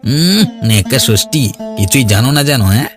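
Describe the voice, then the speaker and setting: A man's voice: mid-range voice, big pitch swings, a little fast, lightly acted. A man playing a jealous, meddling young village man in a cartoon, taunting and boastful, with pushy questioning grunts. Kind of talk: cartoon voice acting. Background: background music.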